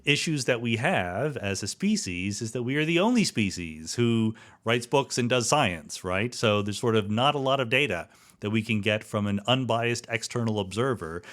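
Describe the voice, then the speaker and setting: A man's voice: medium pitch, expressive, moderate pace, few pauses, fairly bright tone. A man hosting a podcast, talking conversationally and explaining ideas with rhetorical asides. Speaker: podcast host. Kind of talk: conversational monologue and interview.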